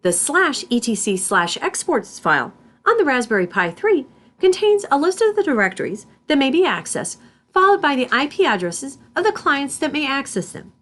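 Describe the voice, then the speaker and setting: A woman instructor reading scripted tutorial narration in a deliberate, step-by-step manner. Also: sits high, sing-song, fairly bright tone. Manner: deliberate, spells out terms symbol by symbol.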